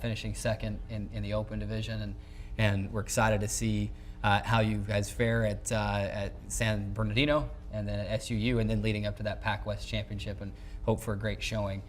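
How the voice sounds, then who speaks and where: A man's voice: lowish voice, a little hiss or hum. A man hosting a sports show, interviewing in a conversational broadcast style.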